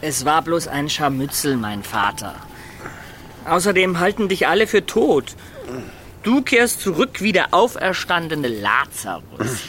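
An actor playing an impassioned, devoted young knight in a German radio play, with an emotional, exclamatory delivery. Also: male voice, on the high side, very animated, measured pace, a bit bright, slight background noise. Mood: reassuring.

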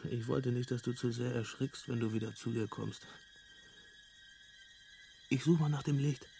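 An actor playing a laid-back, knowing alien hitchhiker in a German radio drama, casual, matter-of-fact and amused. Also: male voice, medium pitch, steady tone, measured pace, gravelly, warm tone, a little hiss or hum.

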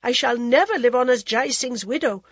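A woman's voice: fairly high pitch, normal speed, gravelly, breathy edge, no echo, pitched above the performer's own voice. A woman voicing a proud, desperate middle-aged queen who speaks in formal, pleading and defiant lines.

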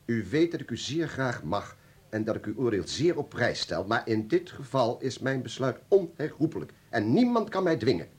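An actor playing a stubborn, agitated communist security official in a Dutch radio play, insistent and defensive, with an authoritative manner. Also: male voice, mid-range voice, big pitch swings, normal speed, slightly rough. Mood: resolute.

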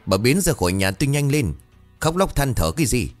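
A man's voice: on the low side, big pitch swings, fairly quick, soft background music. A man voicing a foul-mouthed, hot-tempered village man who barks coarse threats in an audio story. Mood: angry shouting. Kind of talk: dramatic audio-story dialogue.

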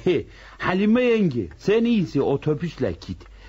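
A pious old village elder in a radio play. His voice is husky and swings widely as he admonishes the family in a folksy, earnest way. The recording is dull and lo-fi. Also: sits high, big pitch swings, measured pace, darkish tone, exaggerated voice. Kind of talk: radio drama acting.

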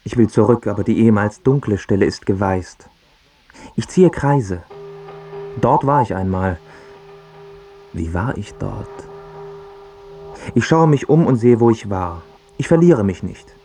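An actor playing a confused, dying man with failing memory, husky and stubbornly repetitive, in a German radio drama. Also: fairly low pitch, animated, a little slow, long pauses, mellow, soft background music. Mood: reflective, resigned.

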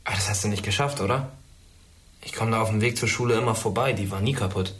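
A man's voice: fairly low pitch, quick pace, fairly bright tone, lightly acted. A man playing a sarcastic, jumpy teenage schoolboy in a radio drama, mocking and stammering under stress. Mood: dry. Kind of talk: naturalistic radio drama acting.

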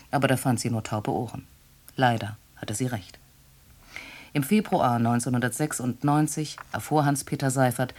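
A performer of unclear sex playing a sober narrator who recounts a murder case in a measured, factual, report-like way. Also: mid-range voice, darkish tone, lightly acted. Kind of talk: scripted radio play narration, report-like.

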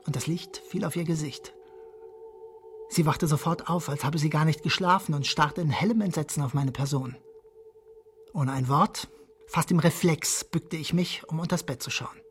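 Actor as a jealous husband, quietly confessional. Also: male voice, on the high side, normal speed, soft background music, natural voice. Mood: furtive, confessional. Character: jealous, cuckolded husband, childish and ironic, confessing his schemes. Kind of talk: radio drama first-person monologue.

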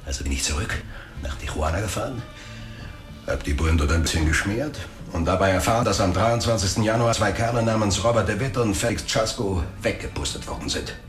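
A man's voice: on the low side, a little fast, echoey room, soft background music, natural voice. A man playing a slick, greedy, chummy private detective who talks in slang in a radio drama. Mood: slangy, conspiratorial. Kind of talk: radio drama dialogue, storytelling monologue.

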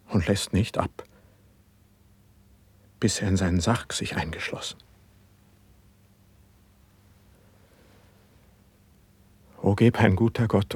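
Brooding, low-voiced poet. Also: male voice, darkish tone, breathy edge, no echo, full character voice. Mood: fervent, anguished. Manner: declaimed, fervent stage diction. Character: sensitive, brooding young male poet, melancholy and driven. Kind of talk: German classical verse radio drama.

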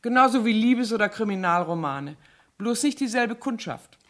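A somewhat high female voice, an actress as a gossiping cleaning lady in a seventies radio drama. She sounds chatty and artless, passing on tattle matter-of-factly. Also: lively intonation, moderate pace, close to an everyday voice. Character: gossipy, falsely naive cleaning woman. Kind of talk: 1970s radio play acting.

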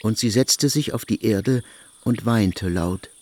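A man narrating a literary radio play in a measured, solemn way. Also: fairly low pitch, darkish tone.

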